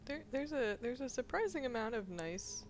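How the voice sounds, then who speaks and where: A woman's voice: fairly high pitch, big pitch swings, normal speed, a little hiss or hum. A woman giving casual commentary as she plays a video game, thinking aloud. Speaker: gaming video host.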